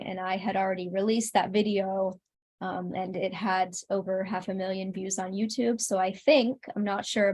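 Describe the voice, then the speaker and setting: A woman's voice: mid-range voice, darkish tone. A woman chatting casually as an interview guest, earnest and modest in the way she talks. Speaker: interview guest, singer and content creator.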